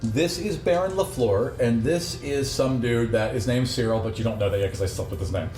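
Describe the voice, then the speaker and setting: A man's voice: medium pitch, fairly quick, over music. A man casually explaining the scene to players as game master of a tabletop game. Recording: echoey room with clear background noise.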